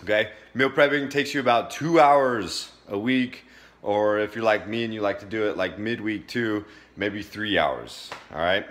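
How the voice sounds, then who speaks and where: A man in his thirties with a fairly low voice talks casually into his phone at home. He explains things step by step in an informal, plain-spoken way, as a fitness vlogger would in his own kitchen. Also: normal speed, fairly bright tone. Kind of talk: casual how-to talk to camera. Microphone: phone at arm's length.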